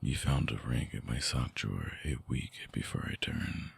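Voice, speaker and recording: A man voicing a lovesick vampire in intimate ASMR roleplay, husky and breathy, often dropping toward a whisper, halting, tender and earnest, close on the mic. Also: extremely low pitch, heavily acted. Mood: tender, devoted. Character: lovesick male vampire returning to his lost lover. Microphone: close binaural microphone.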